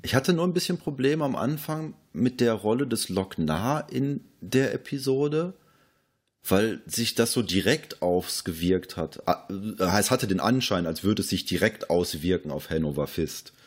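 Lower-voiced, husky man in conversation. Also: animated, unhurried. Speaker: podcast co-host. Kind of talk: casual film discussion.

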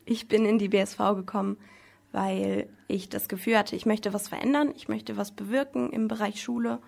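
Teenage girl explaining things, slightly creaky voice. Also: medium pitch, fairly even intonation, normal speed, darkish tone. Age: teens. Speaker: student council representative being interviewed. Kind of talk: interview answers in simple explanatory language.

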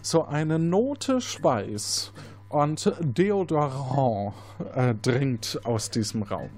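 A man's voice: mid-range voice, lively intonation, extremely slow, a little mellow, faint music behind. A man hosting an interactive crime game, narrating scenes as game master in a partly improvised, playful way.

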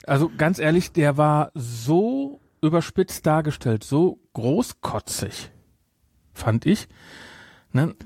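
A man's voice: a little high, very animated, slow pace, a little mellow, husky, no room sound, clean studio sound. A middle-aged man hosting a podcast, talking casually and giving his opinions with emphatic repetition. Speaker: podcast host.

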